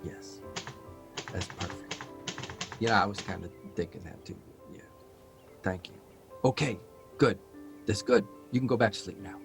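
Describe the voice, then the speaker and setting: An actor playing a restless, self-doubting male writer in a live audio drama, rambling and halting as he questions himself. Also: fairly low pitch, gravelly, music in the background. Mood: distracted.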